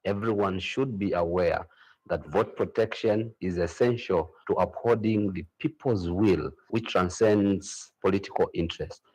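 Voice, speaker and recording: A man's voice: deep voice, a little slow, a little mellow, husky, rough recording. A man with a Zambian accent delivering a formal prepared statement as an organisation's spokesman. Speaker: civil society organisation spokesman.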